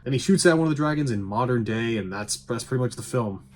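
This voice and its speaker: A man's voice: medium pitch, lively intonation, fast talker, a little mellow, slight background noise. A man giving a casual scripted review commentary, with sarcastic dry humour and some swearing. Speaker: video game movie reviewer. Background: faint music.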